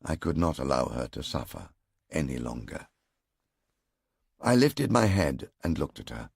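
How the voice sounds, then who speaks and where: A man reads a first-person audiobook in a slow, grave, measured manner. His voice sits very low and has a husky grain, yet his pitch moves a great deal. The booth sound is dry and close. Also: sing-song, a little mellow, no echo. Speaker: audiobook narrator.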